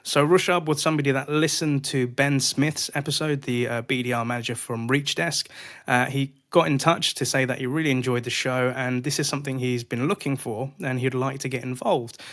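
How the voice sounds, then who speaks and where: A man's voice: mid-range voice, fairly bright tone. A man hosting a podcast, introducing the show and interviewing a guest. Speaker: podcast host.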